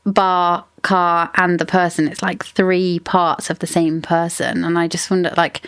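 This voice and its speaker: A woman's voice: lowish voice, little pitch movement, measured pace, clean tone, no room sound. Rambling woman musing about a book. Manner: thinks aloud, rambling, lots of "like" and hedging. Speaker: book podcast host. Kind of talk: casual book discussion.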